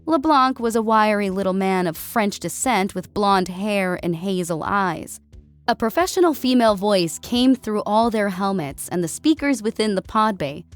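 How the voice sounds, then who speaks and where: A woman delivers scripted story narration in a mid-pitched voice. She reads prose as an audiobook narrator. Music plays softly behind her. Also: measured pace. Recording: music bed under voice. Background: background music.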